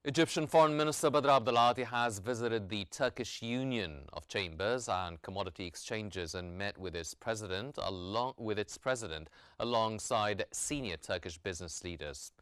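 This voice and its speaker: A man with a deep, smooth voice anchors a news bulletin. His delivery is formal and measured, recorded close and dry as he reads from a script. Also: a bit bright, no room sound.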